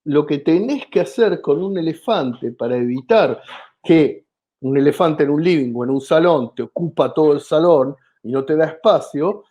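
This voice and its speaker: Argentine man, comedian guest, mellow tone, slightly high voice, hesitant with fillers. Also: normal speed. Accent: Argentine Spanish. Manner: hesitant, many fillers and restarts. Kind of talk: explanatory interview talk.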